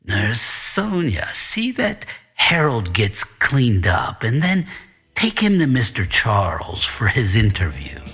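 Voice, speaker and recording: Man voicing a slow, husky, sinister old principal. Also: medium pitch, huge pitch swings, a bit bright, exaggerated voice. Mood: silky menace. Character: sinister serpent-like older male principal, menacingly courteous.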